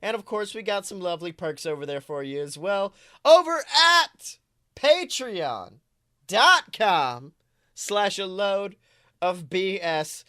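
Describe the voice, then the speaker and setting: Male podcast host, bright sound in a dry recording, chatty, jokey, theatrical delivery. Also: high-pitched, slow, dry recording. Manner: excitable, jokey, theatrical emphasis. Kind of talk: casual chatty pop-culture banter.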